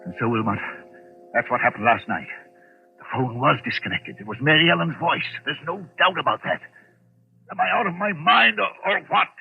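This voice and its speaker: A crackly old radio recording carries a haunted, imperious gentleman. His fairly high voice is gritty with creak, and he stammers and insists in agitated suspense-drama fashion. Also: normal speed, narrow, tinny audio, faint music behind. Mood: frantic, haunted. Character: haunted, desperate wealthy man, stubborn and imperious. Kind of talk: vintage radio suspense acting.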